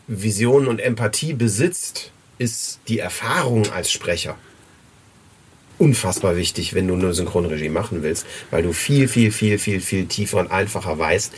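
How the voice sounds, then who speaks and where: A man interviewing a guest in casual, unscripted conversation, stressing points by repeating words. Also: on the low side. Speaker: podcast interviewer, runs a voice casting agency. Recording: clear background noise.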